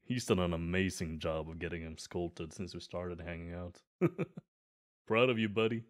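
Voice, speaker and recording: An eager, chatty gym bro, played by a man with a slightly low voice. He talks in an earnest, friendly way, explaining workouts in an ASMR roleplay. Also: fairly even intonation, normal speed, natural voice.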